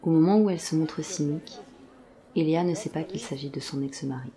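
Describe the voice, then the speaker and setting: A narrator of a scripted audio drama, telling the story in a measured, literary manner. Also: medium pitch, mellow. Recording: faint background ambience.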